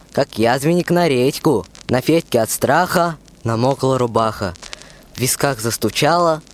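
A young man narrating a rhymed folk tale as the storyteller of a radio play. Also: on the high side.

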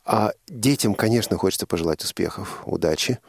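A man with a gravelly, husky voice, hosting a radio program in a conversational style. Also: lowish voice, expressive, a little fast, dry recording, studio quality.

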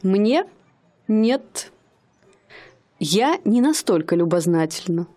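A woman's voice: medium pitch, big pitch swings, takes it slowly, warm tone. An actress playing a young woman who poses as a bored rich lady in a radio play, speaking in a haughty, mannered way. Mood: wistful. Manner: haughty, mannered, languid speech.

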